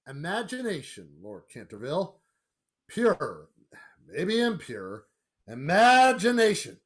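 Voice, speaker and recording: High-pitched blustering American businessman. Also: wildly swooping pitch, takes it slowly, long pauses, fairly bright tone, full character voice. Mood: dismissive. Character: brash, sceptical American businessman father, confident and dismissive. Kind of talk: radio play acting.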